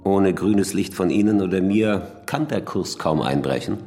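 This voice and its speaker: An actor playing a self-assured, threatening football club president in a radio drama, speaking with tight control. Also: male voice, sits low, lively intonation, measured pace, music in the background. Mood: cold, threatening.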